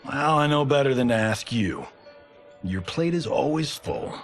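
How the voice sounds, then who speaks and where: An actor playing a cocky, upbeat Hollywood action star turned director in a video game, breezy, slangy and wisecracking. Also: male voice, medium pitch, expressive, a little slow, faint music behind. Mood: breezy, playful. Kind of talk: cinematic game cutscene acting.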